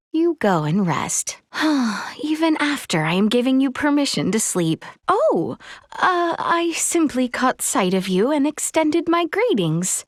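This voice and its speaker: Lilting, high-voiced earnest young princess. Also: female voice, no echo, studio quality, exaggerated voice. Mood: earnest, weary, then gentle, hesitant. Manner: formal, archaic courtly phrasing, measured and polite. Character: earnest young princess, refined, courteous, gently curious. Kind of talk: video game voice acting, scripted dialogue.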